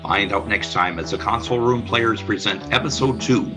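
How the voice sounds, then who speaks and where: A man's voice: lowish voice, moderate pace, over music. A man narrating a radio play from a script, describing the action.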